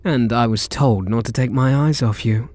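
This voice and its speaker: A British man playing a reluctant kidnapper in an intimate ASMR roleplay, wry and incredulous, speaking in short halting phrases. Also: mid-range voice, darkish tone, soft background music, natural voice. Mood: wry, amused. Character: reluctant male kidnapper, gruff but secretly softening. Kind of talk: ASMR roleplay acting, intimate one-sided dialogue.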